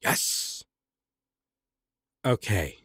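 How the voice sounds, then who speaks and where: A man's voice: extremely slow, fairly bright tone, no room sound, clean studio sound. A man, a voice actor, talking casually off script between takes, muttering, breaking off and swearing freely. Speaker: audio roleplay voice actor. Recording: clearly noisy background, passing vehicles.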